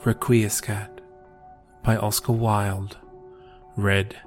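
A man reads poetry in a slow, measured way over soft, sad music. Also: on the low side, flat delivery. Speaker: poetry podcast host and reader. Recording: music under the voice. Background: soft, sad new-age music.

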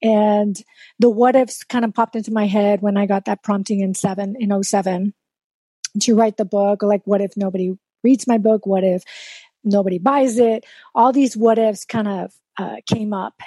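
Woman guest from south texas, spanish first language, talks openly and reflective, mid pitch. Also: steady tone, normal speed, dark tone, dry recording. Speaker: guest, coach and speaker. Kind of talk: casual interview chat.

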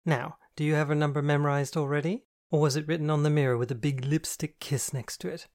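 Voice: mid-range voice, level tone, fast talker, darkish tone, voice pushed up, natural voice. A reader doing a flamboyant camp young man. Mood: teasing. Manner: grand, theatrical, teasing. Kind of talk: audiobook dialogue.

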